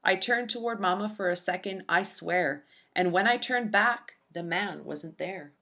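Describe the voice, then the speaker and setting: A woman narrating a rhyming children's storybook in an expressive, deliberate storytelling manner for young listeners. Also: lowish voice. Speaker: children's book read-aloud narrator.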